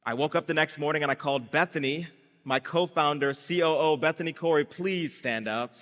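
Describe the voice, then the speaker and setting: A high, bright young man's voice carries through a large reverberant event hall. He is a founder from Brazil, raised in Guyana, telling his story to a live audience. He uses quick jokes and calls to the crowd, with the polish of a trained public speaker. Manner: energetic storytelling, comic timing, addresses the crowd. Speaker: startup founder giving a gala speech. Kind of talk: live stage speech with audience call and response.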